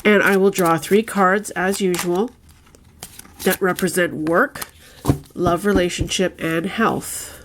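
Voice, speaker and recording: A woman's voice: fairly low pitch, steady tone, takes it slowly, noisy background. Congested, nasal woman reading tarot. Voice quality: nasal, stuffed up. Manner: chatty, often checks in with "okay?". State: congested with a head cold. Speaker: tarot reader. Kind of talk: casual weekly tarot reading.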